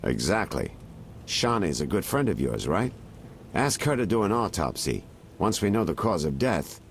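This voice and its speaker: A man's voice: low-pitched, big pitch swings, normal speed, slight background noise. An actor playing a shrewd, mercenary male informant in a video game, haggling and insinuating in a wry way. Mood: confiding.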